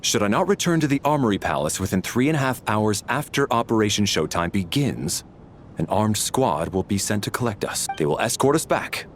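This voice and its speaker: A man's voice: lowish voice, lively intonation, fairly quick, slight background noise, natural voice. An actor voicing a stern, rigid male military officer in a game cutscene, formal and clipped.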